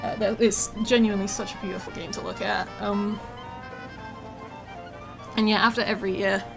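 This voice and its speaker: A woman's voice: medium pitch, level tone, normal speed, vocal fry, poor audio. A woman who develops games, chatting casually on a live stream with a slightly worn voice and lots of ums. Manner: chatty, with many ums and laughs. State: slightly losing her voice. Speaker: game developer streaming gameplay. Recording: music playing behind.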